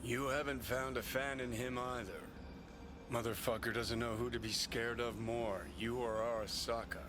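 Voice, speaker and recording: An actor voicing a sneering, foul-mouthed rebel rocker in a video game. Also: male voice, mid-range voice, steady tone, moderate pace, over music, close to an everyday voice. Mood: scornful. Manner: profane, scornful.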